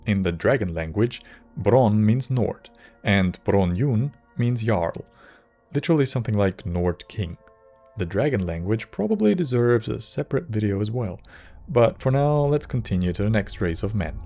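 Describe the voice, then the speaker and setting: Male video essay narrator, low pitch, scripted but casual, faint music bed. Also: expressive, moderate pace, vocal fry, warm tone.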